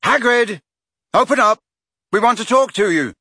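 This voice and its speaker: A stubborn teenage hero from a storybook, read by a man, terse and earnest. The voice is husky, with lively shifts of pitch and a dry close sound. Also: slow, no room sound, exaggerated voice. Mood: defiant. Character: teenage boy hero, stubborn, firm, plain-spoken.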